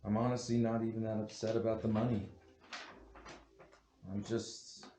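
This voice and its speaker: An actor at a table read playing a panicked, guilty husband with a nervous, hesitant way of talking. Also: male voice, fairly low pitch, little pitch movement, moderate pace, mellow, lightly acted. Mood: bitter, reflective. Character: panicked, guilty husband turned killer, stammering and pleading. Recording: roomy echo with faint background noise.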